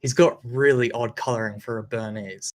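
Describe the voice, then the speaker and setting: Woman acting a receptionist, gushing, expressive, dog lover, film comedy table read. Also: extremely low pitch, moderate pace. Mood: chatty.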